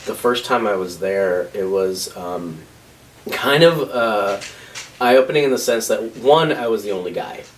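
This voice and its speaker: A man in his thirties in a documentary interview, talking hesitantly with many pauses and fillers. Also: fairly low pitch, animated. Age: 30s. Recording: voice from a distance with faint noise.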